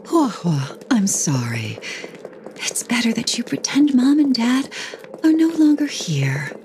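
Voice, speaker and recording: A woman's voice: a little high, sing-song, takes it slowly, a little mellow, slight background noise, heavily acted. A woman playing a regretful but firm mother in a game cutscene, grave and apologetic. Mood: whispered regret. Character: regretful mother sending her daughter away, cold resolve. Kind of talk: dramatic game cutscene voice acting. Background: faint music.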